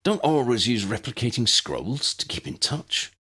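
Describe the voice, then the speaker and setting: A man voicing an excitable, persuasive teenage boy wizard in a fantasy audiobook. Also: medium pitch, moderate pace, slightly rough, voice pushed up, exaggerated voice. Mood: excited.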